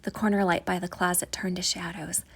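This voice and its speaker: A woman reading a children's bedtime story aloud, with a breathy voice and a dramatic, drawn-out storybook delivery. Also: lowish voice, flat delivery. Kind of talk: expressive bedtime story narration.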